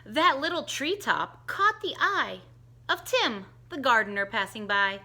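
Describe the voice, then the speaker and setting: A middle-aged woman reads a rhyming children's book aloud at an unhurried pace. Her voice is bright and a little high, and it swoops up and down in a sing-song way as she changes voices for the characters. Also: very animated. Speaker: teacher reading a picture book aloud. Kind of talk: storybook read-aloud for children.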